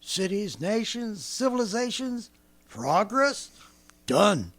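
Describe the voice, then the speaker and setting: An actor playing an embittered, cocky militiaman with a husky voice in an old-time radio drama, ranting in folksy, colloquial speech. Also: high voice, sing-song, takes it slowly, fairly bright tone, heavily acted. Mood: grimly explaining. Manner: folksy, colloquial, fervent ranting with sardonic chuckles. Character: embittered, cocky militiaman survivor scheming after an invasion.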